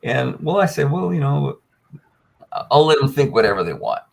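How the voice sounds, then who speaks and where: A man's voice: mid-range voice, big pitch swings, normal speed, creaky. An older man, telling a long personal story as a guest on a panel, with many fillers and restarts. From United States.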